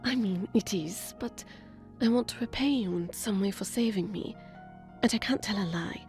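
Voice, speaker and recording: A woman playing a gentle, earnest and hesitant young lady in a romantic audio drama. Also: mid-range voice, normal speed, soft background music, close to an everyday voice. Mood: longing, pleading. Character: sweet, shy, earnest young woman, a seamstress.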